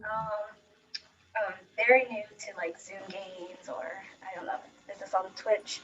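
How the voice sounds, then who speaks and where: A woman's voice: mid-range voice, steady tone, a little slow, fairly bright tone. A woman from Ohio introducing herself on a video call, hesitant and rambling. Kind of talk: casual self-introduction. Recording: muffled call audio with faint noise.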